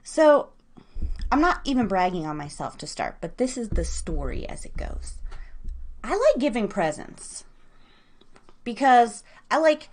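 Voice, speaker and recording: A woman with an American accent and a high voice hosts a live online radio show. She chats casually and affectionately with her listeners, with a bubbly, informal manner. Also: big pitch swings, measured pace, pauses often. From United States.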